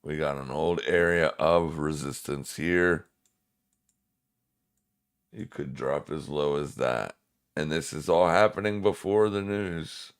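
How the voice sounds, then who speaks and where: Very deep, unhurried man with smoker's voice. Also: clean tone. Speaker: live-stream trading host. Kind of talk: live market commentary, casual.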